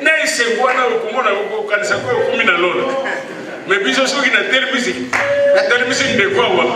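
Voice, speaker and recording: Man, Congolese French accent, high voice, emphatic preaching, very echoey hall, distant mic, crowd audible. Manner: emphatic, rising to high excited exclamations, switches into Lingala. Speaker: university rector speaking at a graduation. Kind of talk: ceremony speech with preaching. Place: large assembly hall in Kinshasa. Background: audience in the hall.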